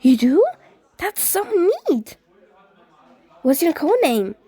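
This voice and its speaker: A woman voicing an excitable, encouraging teenage ghost boy in an audiobook-style story, gushing eagerly and tripping over words. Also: high-pitched, slow, dry recording, pitched above the performer's own voice, heavily acted. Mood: excited, awed.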